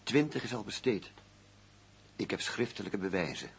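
An actor playing a stubborn, embittered undercover police detective in a radio play, indignant and sardonic in manner. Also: male voice, on the low side, animated, moderate pace, vocal fry, slightly rough, heavily acted. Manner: indignant, sardonic, plain-spoken. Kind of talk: radio drama acting.

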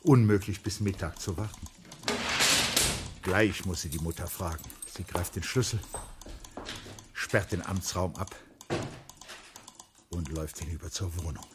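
A man reading literary narration for a radio drama in a low-pitched voice. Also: a little slow, long pauses, very noisy.

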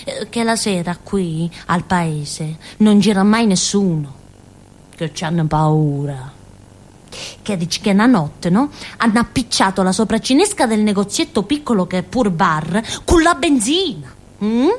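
Childlike Calabrian village simpleton, swooping voice. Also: female voice, medium pitch, expressive, measured pace. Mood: confiding. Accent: southern Italian, Calabrian. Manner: rambling, childlike, with muttered asides and little interjections. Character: Carletta, naive simple-minded village woman, chatty, childlike. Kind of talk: theatrical monologue in a radio drama.

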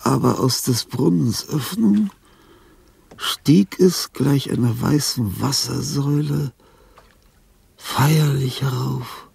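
An actor playing a lonely, dying old composer in a radio drama, tender and confused, with a husky, halting delivery that swings between whispers and cries. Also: male voice, medium pitch, darkish tone, full character voice. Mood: solemn, murmuring. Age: older. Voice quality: gravelly, husky, breathy. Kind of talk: German radio drama acting.

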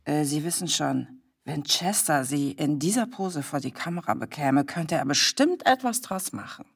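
Low-voiced flattering socialite. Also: female voice, normal speed, gravelly, slightly rough, heavily acted. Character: Mrs McKee, affected, pushy, flattering socialite wife. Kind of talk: radio play acting.

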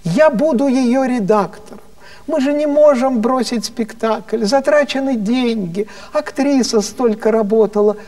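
A man's voice: very high voice, unhurried, warm tone, far from the mic, voice pushed up. A man voicing a sentimental, earnest and bossy woman minister in a storyteller's anecdote, pleading and emphatic. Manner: pleading, emphatic, endearing address. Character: sentimental, earnest female Soviet government minister, motherly and bossy.

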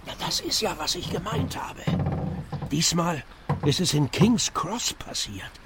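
High-voiced brash, chatty cabbie. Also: male voice, animated, measured pace. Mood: gossipy, scornful. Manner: talkative, brash. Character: chatty, opportunistic London cab driver bearing grim gossip. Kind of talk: radio drama acting. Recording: noisy outdoor street scene.

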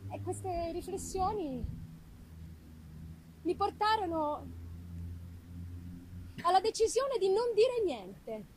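A woman performing an anguished, haunted young male scientist in a dramatic, halting gothic literary reading, emphasizing and repeating words. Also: very high-pitched, big pitch swings, darkish tone, a little hiss or hum, heavily acted, low-quality recording. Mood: grave, haunted.

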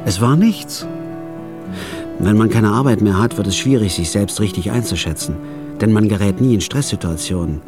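A man narrating a radio drama in the first person as a store clerk, in a flat, laconic, hard-boiled way. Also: on the low side, moderate pace, music in the background.

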